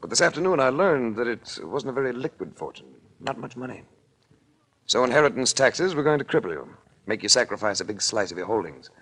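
An American actor playing a cool, wry investigator in a 1940s radio crime drama, with an unflappable, dryly sardonic manner. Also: male voice, mid-range voice, very animated, brisk, fairly bright tone, husky, heavily acted, poor audio. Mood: coolly accusing. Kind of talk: 1940s radio detective acting. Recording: vintage 1940s radio recording.

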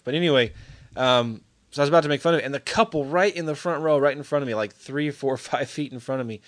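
A man's voice: mid-range voice, fairly bright tone. A middle-aged man talking casually to camera as a real estate investor, rambling with many fillers and restarts. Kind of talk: casual video update.

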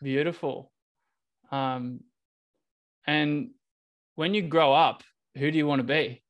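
A man with a slightly high voice leads an online group session over a video call. He keeps things casual and easygoing, often restarting his sentences as he coordinates. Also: fairly even intonation, takes it slowly, muffled recording. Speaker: workshop facilitator.

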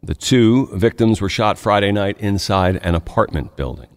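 A man anchors a local radio newscast, his voice sitting low and even. He reads in a steady, measured way, now and then adding a plain aside. A long reverb trails each line. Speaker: news anchor. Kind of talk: radio news reading.

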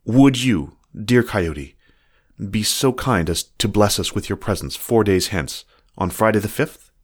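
A man plays a genteel storybook fox with a low, deep voice. He is earnest and florid, a gentle and lovestruck creature of good standing, speaking his love letters with formal care. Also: expressive, a little slow, husky. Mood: earnest entreaty. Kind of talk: dramatic reading of love letters.

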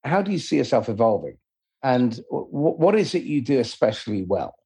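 A man's voice: medium pitch, big pitch swings, a little fast, mellow, breathy edge. A man hosting a conversational interview, asking questions and explaining his own approach.

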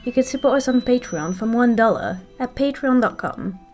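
A woman's voice: fairly high pitch, expressive, normal speed, darkish tone. A woman reading scripted closing credits as a podcast announcer. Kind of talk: scripted narration. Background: music.

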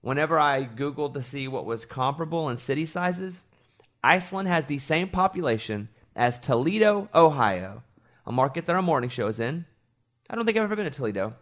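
A man's voice: on the high side, a bit bright. A man from Arkansas, a morning show host, chatting casually on a podcast and telling rambling stories with self-deprecating asides.

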